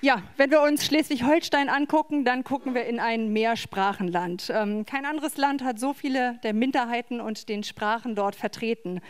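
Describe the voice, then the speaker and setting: A woman's voice: fairly high pitch, normal speed, few pauses, clear, resonant tone. A woman welcoming guests to an event as moderator, friendly and a little hesitant, with small fillers. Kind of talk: welcoming address.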